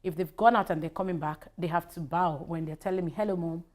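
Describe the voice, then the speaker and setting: A woman's voice: lowish voice, steady tone, fast talker, a little mellow. A middle-aged Nigerian woman, a native Yoruba speaker, answering interview questions conversationally in an emphatic, repetitive way. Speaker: talk show guest, investment banker.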